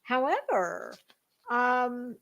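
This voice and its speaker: High-voiced, snarky American woman. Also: very animated, very slow, fairly bright tone. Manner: snarky, blunt, casually profane; theatrical, emphatic delivery. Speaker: online commentator reading documents aloud. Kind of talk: dramatic reading with casual gossipy commentary.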